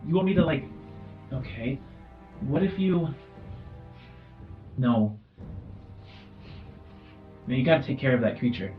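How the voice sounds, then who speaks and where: A man's voice: mid-range voice, animated, slow, pauses often, highs cut off. A man voicing a nervous, blunt data analyst in a tabletop game, hesitant and dry. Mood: uneasy, dry. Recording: music under the voice.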